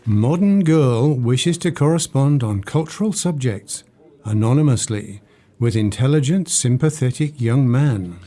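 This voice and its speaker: A man's voice: mid-range voice, animated, unhurried, mellow. A man playing a friendly, worldly shop colleague in a radio play, wry, knowing and curious. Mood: wry, intrigued.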